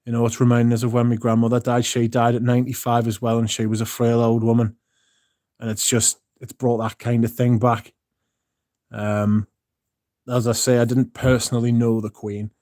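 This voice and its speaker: A man's voice: medium pitch, monotone, normal speed, a little mellow, dry recording, clean studio sound. A tired man, chatting casually and rambling on a livestream, swearing often and restarting sentences. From Britain. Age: 30s. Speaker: streamer. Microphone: phone.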